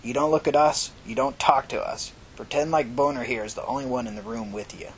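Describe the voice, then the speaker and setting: A man's voice: a little fast, close to an everyday voice. A man voicing a menacing, bossy gangster in a short, demanding, growling manner for an audiobook story. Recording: noisy recording with low rumble.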